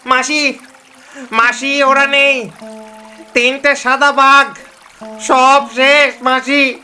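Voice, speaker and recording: A man's voice: extremely high pitch, flat delivery, extremely slow, bright, forceful tone, faint music behind, heavily acted. A man voicing a frightened, anxious villager in a dramatic cartoon, with pleading, panicky exclamations. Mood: shaken.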